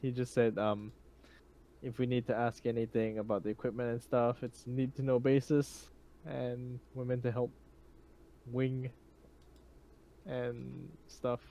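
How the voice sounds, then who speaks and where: A man's voice: medium pitch, flat delivery, unhurried, pauses often, warm tone. A man playing a tabletop roleplaying game, chatting casually and speaking for his character. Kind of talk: casual chat and in-character play.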